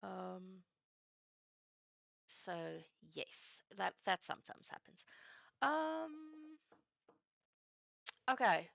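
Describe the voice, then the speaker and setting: A woman whose first language is Afrikaans chats casually as she works on a livestream, haltingly searching for words. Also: medium pitch, expressive, creaky, a bit bright, dry recording, muffled recording. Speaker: streamer building game maps live. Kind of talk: casual chat while working.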